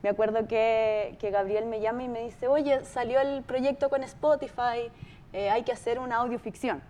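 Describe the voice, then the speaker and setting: A woman's voice: fairly high pitch, fairly even intonation, normal speed, warm tone, a little hiss or hum. A Chilean woman speaking as a producer, telling a story in an informal, conversational presentation with emphatic repetition. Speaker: podcast producer giving a talk.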